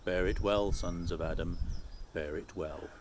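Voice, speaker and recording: A man voicing a solemn, majestic lion king who speaks with slow ceremony in a storybook reading. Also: low voice, fairly even intonation, noisy background, voice pushed down. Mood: solemn, grave.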